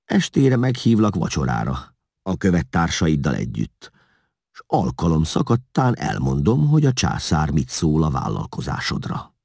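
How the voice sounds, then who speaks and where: A man performs a scheming courtier of an imperial court in a historical storybook. The delivery is formal and persuasive, moving freely in pitch, with a bright edge and a close, dry sound. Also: wildly swooping pitch, vocal fry, slightly rough, no room sound, exaggerated voice. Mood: eager, conspiratorial. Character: self-assured male imperial courtier, scheming, persuasive, flattering.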